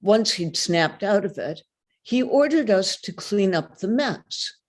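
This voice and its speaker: A woman reads literary fiction aloud in the first person. Her pace is slow and deliberate, and her intonation moves widely as she narrates. The delivery is that of a dramatic storyteller. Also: mid-range voice, expressive. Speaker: reader of a short story.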